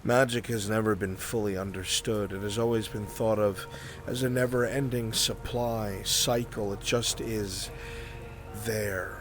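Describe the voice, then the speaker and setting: A man acts out a grave scholar of magic in a fantasy roleplay. His low voice moves very slowly, with deliberate pauses. The phrasing is formal and cautioning throughout. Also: steady tone, music in the background, close to an everyday voice. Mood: solemn warning. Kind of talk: improvised fantasy roleplay acting.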